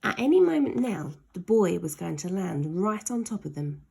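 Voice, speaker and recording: A woman's voice: fairly low pitch, very animated, normal speed, gravelly, a little mellow, breathy edge. A woman reading a children's story aloud expressively, stretching key words for dramatic emphasis.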